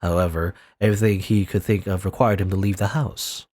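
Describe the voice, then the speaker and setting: A man's voice: deep voice, steady tone, brisk, darkish tone. A young man reading a visual novel aloud dramatically, breaking off into rambling asides full of fillers. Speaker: let's player.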